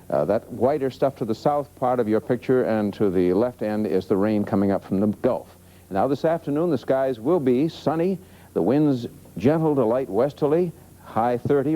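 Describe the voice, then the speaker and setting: Relaxed American TV weatherman. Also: medium pitch, low-quality recording. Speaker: TV weather forecaster. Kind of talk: chatty weather forecast presentation.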